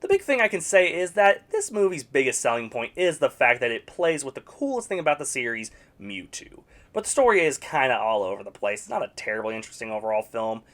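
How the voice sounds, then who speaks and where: A man's voice: mid-range voice, a bit bright. A man narrating a scripted video essay reviewing video game movies, with sarcastic, blunt opinions.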